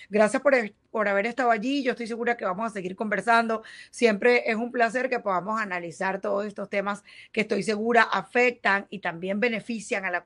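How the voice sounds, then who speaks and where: A woman hosting a news program, talking with a guest and giving viewers practical advice in a conversational tone. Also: fairly high pitch. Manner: conversational, advisory, addresses viewers directly. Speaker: news show host. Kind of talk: news interview and advice segment.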